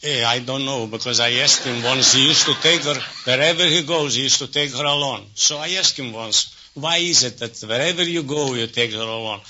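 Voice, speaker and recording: A man contestant on an old radio quiz, telling anecdotes in halting, earnest, non-idiomatic English. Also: medium pitch, bright, forceful tone. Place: radio studio. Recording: dull, noisy vintage radio broadcast.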